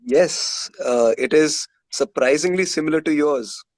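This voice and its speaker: A man's voice: on the high side, unhurried, no room sound, rough recording. A man from India with an Indian accent, telling stories casually and candidly as a musician being interviewed.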